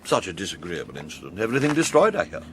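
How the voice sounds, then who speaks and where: An actor in a radio drama playing a suave, sly upper-class English intelligence agent, speaking in an arch, languid, plummy way. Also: male voice, low-pitched, big pitch swings, very fast, noisy background. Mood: coolly sly.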